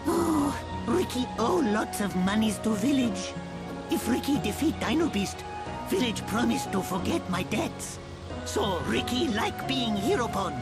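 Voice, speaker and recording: A woman's voice: on the high side, big pitch swings, a little slow, loud music behind the voice. A woman voicing a small, furry, childlike creature hero in a video game, boastful and eager, speaking in exclaiming broken third-person sentences. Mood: sheepish, puzzled. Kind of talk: video game cutscene acting.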